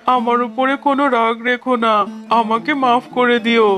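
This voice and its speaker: Sick cartoon fox dad played by a man, resigned, loving, little pitch movement, music behind. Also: little pitch movement, normal speed. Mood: sorrowful resignation. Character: ailing, resigned, loving father fox, rickshaw puller.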